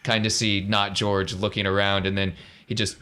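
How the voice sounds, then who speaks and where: A man's voice: lowish voice, level tone, moderate pace, bright, forceful tone, clean tone. A man acting as game master, narrating a tabletop role-playing game in an informal, conversational way. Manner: informal, conversational scene-setting.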